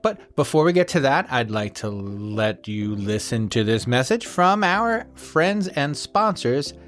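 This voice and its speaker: A man's voice: mid-range voice, very animated, a little slow, fairly bright tone, faint music behind. Conversational man hosting a podcast. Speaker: podcast host.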